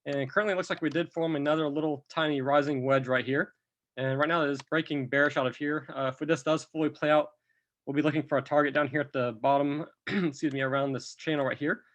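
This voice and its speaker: A man who is a bit under the weather, with a cough, walking through price charts in a relaxed, step-by-step commentary. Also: fairly high pitch, fast talker, dull audio. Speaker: crypto chart analyst. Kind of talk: screen-recorded chart walkthrough.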